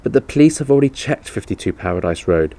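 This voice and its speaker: A man's voice: on the low side, animated, quick pace, creaky, mellow, pitched above the performer's own voice. A man reading as a worried, insistent mother. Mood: alarmed.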